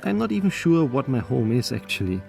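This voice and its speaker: Gravelly man with an Austrian accent. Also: mid-range voice, fairly quick. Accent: Austrian German. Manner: earnest, steady storytelling. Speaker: travel vlogger. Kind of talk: reflective voice-over narration. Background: background music.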